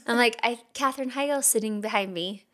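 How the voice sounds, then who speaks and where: Higher-voiced woman co-host, casual and chatty, laughs a lot. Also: fairly quick, fairly bright tone. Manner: chatty storytelling, laughs often. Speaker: podcast co-host. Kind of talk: casual chat.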